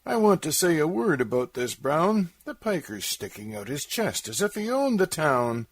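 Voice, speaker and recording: A man plays a grumbling frontier skipper in comic storytelling verse. He complains emphatically, pitch pushed up and swinging, with a husky edge to his indignation. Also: sing-song, moderate pace, heavily acted. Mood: indignant.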